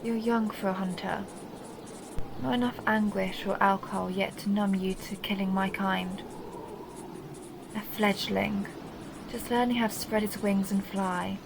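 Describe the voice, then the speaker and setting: Woman performing a vampire countess, creaky low-edged purr, possessive, mocking, intimate, audio roleplay, noisy background. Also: fairly even intonation, unhurried, pauses often. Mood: musing, taunting. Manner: teasing, mocking, intimate purring address. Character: seductive, possessive vampire countess, teasing and condescending. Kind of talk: dramatic roleplay audio monologue.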